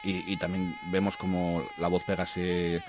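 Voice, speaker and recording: Deep-voiced Asturian man on radio. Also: completely flat delivery. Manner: halting, often repeats words while searching. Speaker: guest music commentator on a radio show. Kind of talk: informal radio talk presenting music.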